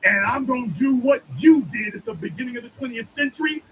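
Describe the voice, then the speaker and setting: A man's voice: extremely high pitch, brisk, a bit bright, a little hiss or hum, low-quality recording. A man giving an emphatic political monologue, preaching and repeating lines for effect. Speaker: commentator.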